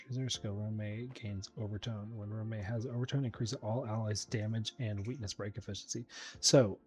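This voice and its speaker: A man's voice: fairly low pitch, fairly even intonation, moderate pace, darkish tone. A man giving casual, easygoing game commentary, thinking aloud as he plays. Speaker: host of a game playthrough channel. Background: game music behind the voice.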